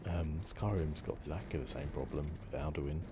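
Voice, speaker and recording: A man giving relaxed ASMR game commentary, musing and rambling with a hesitant delivery. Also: extremely low pitch, normal speed, background noise. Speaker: gaming channel host.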